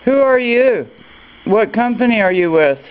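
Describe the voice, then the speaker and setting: A man's voice: sits high, lively intonation, moderate pace, clean tone, narrow, tinny audio. An adult man answering questions and asking his own in a phone conversation, recorded indoors at home on a handheld camera. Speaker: person answering a suspicious phone call.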